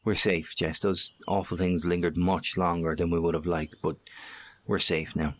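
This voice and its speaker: An actor in an audio horror drama playing a steady, weary, earnest survivor with a measured, sincere manner. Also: male voice, sits low.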